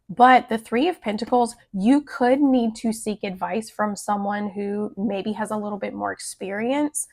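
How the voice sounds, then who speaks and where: A woman with a raspy, hoarse voice giving a casual tarot forecast, often adding "like" and "right?". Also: mid-range voice. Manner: chatty, often adds "like" and "right?". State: hoarse from a cold. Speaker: tarot reader.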